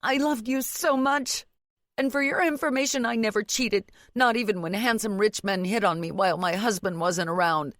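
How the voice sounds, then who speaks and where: A woman's voice: mid-range voice, steady tone, brisk, a bit bright, dry recording, clean studio sound, voice pushed up, close to an everyday voice. A woman voicing a wounded, tearful young wife who pleads and defends herself in an audiobook story. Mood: hurt, defensive. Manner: anguished, defensive, pouring out words.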